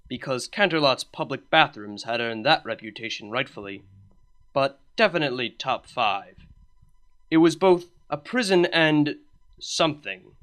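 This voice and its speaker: A man reading a comedic fan story aloud, narrating with a wry, deadpan touch. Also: mid-range voice. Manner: wry, deadpan delivery with lively emphasis. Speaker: fan fiction reader.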